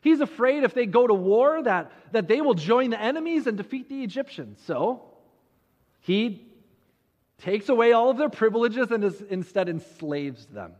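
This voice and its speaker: A man preaching a sermon, telling a Bible story conversationally with dramatic pauses and rhetorical questions. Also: very high voice, very animated. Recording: muffled audio with long hall reverb.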